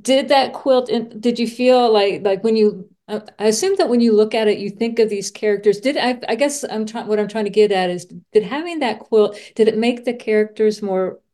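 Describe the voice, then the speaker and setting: A woman's voice: fairly high pitch, moderate pace, few pauses, dark tone. A woman hosting a casual podcast interview, effusive and rambling, rephrasing her thoughts as she goes.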